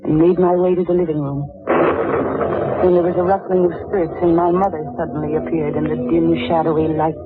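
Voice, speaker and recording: A woman's voice: deep voice, level tone, moderate pace, natural voice. An actress playing a haunted, bitter young woman in a 1940s radio drama, narrating with brooding, dramatic intensity. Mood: brooding, fearful. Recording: dull old radio recording with music.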